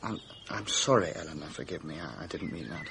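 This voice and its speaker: A man's voice: rumbling low, brisk, gravelly, fairly bright tone, a little hiss or hum, heavily acted. An actor playing a lovesick, confused young man in a British radio mystery drama, emotional and hesitant. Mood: contrite, hesitant.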